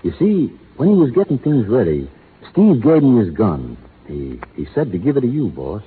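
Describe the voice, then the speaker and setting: An American actor playing a rough, loyal cowhand in a 1930s radio western, talking in folksy cowboy fashion. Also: male voice, medium pitch, wildly swooping pitch, normal speed. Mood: subdued, sorrowful. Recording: tinny, noisy 1930s radio recording.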